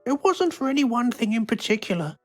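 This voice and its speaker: A woman's voice: on the high side, very animated, fairly quick, darkish tone, soft background music. A woman voicing a blunt, exasperated mother in a comedy game. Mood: weary, exasperated. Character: fed-up, scolding British mother of a grown son.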